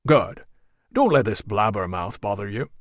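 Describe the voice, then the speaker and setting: Man playing a formal guild man, rich bass, slow and measured, dry sound. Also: lively intonation, dry recording, lightly acted. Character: dignified middle-aged local guild man.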